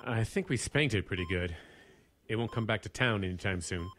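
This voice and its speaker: An actor playing an earnest, shaken park ranger in an audio drama, speaking haltingly and stammering as he pleads. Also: fairly low pitch, a bit bright, close to an everyday voice. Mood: weary concern. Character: earnest, shaken male park ranger, hesitant under pressure.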